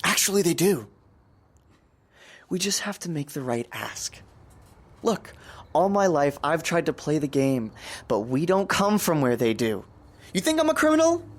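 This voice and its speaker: Glib, scheming young startup kidnapper. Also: male voice, fairly high pitch, very animated, measured pace, pauses often. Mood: grandiose, defiant. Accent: American. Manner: glib, persuasive, grandiose. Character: scheming, self-deluded male startup founder turned kidnapper. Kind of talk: audio drama acting.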